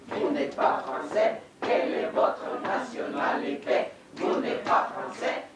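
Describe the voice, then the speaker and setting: A woman teaching a language class, saying phrases slowly and clearly for the group to repeat. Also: medium pitch, distant mic. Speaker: French teacher. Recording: echoey room recorded from afar, group noise.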